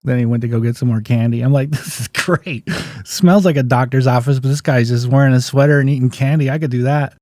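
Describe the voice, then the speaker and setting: Male, 50s, interview guest. Mid-pitched, mellow delivery, anecdotal and plain-spoken, casual storyteller. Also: fast talker, no room sound, clean studio sound. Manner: anecdotal, acts out remembered dialogue, folksy plain-spoken phrasing. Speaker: guest, physical therapist and clinic owner.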